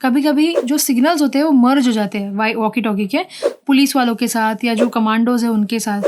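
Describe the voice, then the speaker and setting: A woman's voice: on the high side, fairly quick, noisy background. An Indian woman hosting a conversational product demonstration, explaining things step by step and speaking directly to the viewer. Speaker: product reviewer and channel host.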